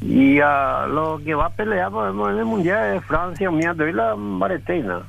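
A man, rambling about football on a radio show in a halting, slangy way. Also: high voice, gravelly, fairly bright tone, rough recording. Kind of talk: casual football talk on radio.

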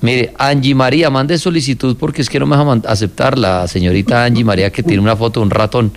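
A man's voice: mid-range voice, fast talker. A man with a Colombian accent hosting a live stream, talking in a loose, joking, slangy way. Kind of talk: casual live stream chat.

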